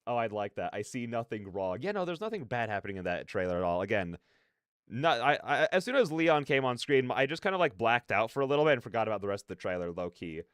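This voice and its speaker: Man, livestream host, clear smooth voice, close dry sound, casual rambling chat, slangy and animated. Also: medium pitch, fast, dry recording.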